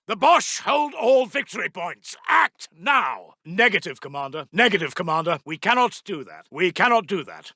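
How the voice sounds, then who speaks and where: High, bright, urgent British army officer. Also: male voice, no room sound, studio quality, heavily acted. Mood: alarmed, tense, then firm refusal. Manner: clipped, formal, emphatic military reporting. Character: British male army intelligence officer, urgent, formal, loyal to his commander. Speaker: military intelligence announcer. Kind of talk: scripted video game unit announcements.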